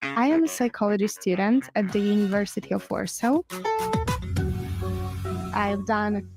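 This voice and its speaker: Young woman from Poland, brisk intro. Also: medium pitch. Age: 20s. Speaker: psychology student, pageant contestant. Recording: music behind.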